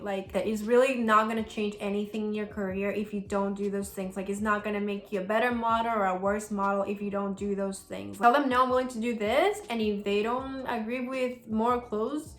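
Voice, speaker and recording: A woman's voice: a little high, fairly bright tone. A young woman from El Salvador, chatting casually as she answers viewer questions, full of "like" fillers. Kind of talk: casual Q&A vlog. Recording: roomy sound with faint music.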